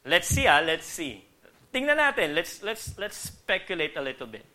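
The bright, forceful voice of a Filipino man one would hear at a Sunday service, talking a congregation through scripture conversationally. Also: on the high side, sing-song, normal speed. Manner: conversational, acts out quoted dialogue. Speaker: preacher. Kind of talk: sermon, teaching with Bible readings and questions to the congregation.

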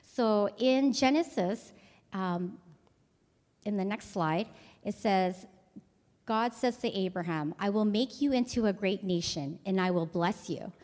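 A woman missionary giving a calm, explanatory church presentation with some fillers. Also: medium pitch, unhurried, long reverb tail. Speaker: missionary speaker.

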